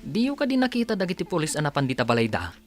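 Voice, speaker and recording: An actor playing a concerned, gossipy villager in an Ilocano radio drama, talking in an animated, conversational way. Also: male voice, on the high side, rapid-fire, faint music behind.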